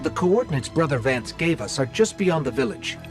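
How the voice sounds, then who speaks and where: A man's voice: a little high, big pitch swings, a little fast. A man voicing a small, earnest robot companion in a video game cutscene, talking conversationally. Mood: wry, curious. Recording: loud music under the voice.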